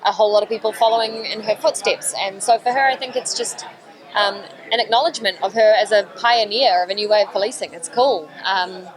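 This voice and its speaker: A woman actor answering press questions in a lively, chatty way, laughing often. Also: medium pitch, brisk, some chatter behind, low-quality recording. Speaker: actor being interviewed. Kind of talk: press room interview answers.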